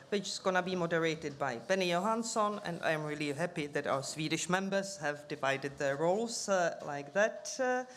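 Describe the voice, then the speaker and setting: A woman chairing a formal union meeting, welcoming guests and reading out speakers' names and titles with frequent pauses and fillers, speaking into a table microphone in a conference room. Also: lowish voice, slight background noise.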